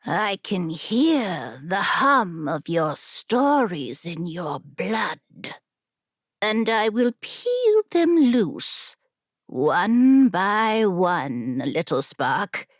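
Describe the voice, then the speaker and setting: Lady doing a creepy old female genie, whispery growl, drags every word out real slow, predatory, fantasy audiobook. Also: medium pitch, very animated, no echo, clean studio sound, heavily acted. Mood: menacing, predatory. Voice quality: breathy, growling. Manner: slow, drawn-out, deliberate delivery. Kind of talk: fantasy audiobook character acting.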